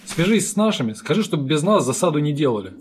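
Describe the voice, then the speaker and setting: A man's voice: on the high side, very animated, fast, slight background noise. A man voicing a stern, sardonic soldier in a cartoon, barking commands. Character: stern, sardonic male soldier holding people at gunpoint. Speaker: voice actor. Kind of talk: cartoon dub acting.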